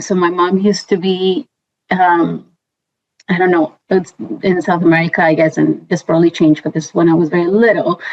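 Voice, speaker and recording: Guest: Colombian-accented woman, thirties, chatty, fillers, low-ish pitch, dull recording. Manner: chatty, many fillers and restarts. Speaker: guest, compliance professional. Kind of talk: casual interview. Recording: dull lo-fi sound.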